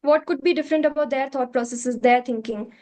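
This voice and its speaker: Indian woman, Hindi her first language, high-pitched, explains earnestly in an interview, restates each point. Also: a little fast, darkish tone. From South India. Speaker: curriculum developer, interview guest.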